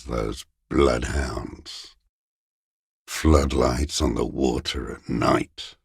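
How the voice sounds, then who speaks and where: A man playing a bitter old communist deserter in a video game, muttering in contemptuous, terse fragments with a gravelly, raspy voice. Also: extremely low pitch, no echo, studio quality, full character voice. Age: older. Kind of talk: video game character voice acting.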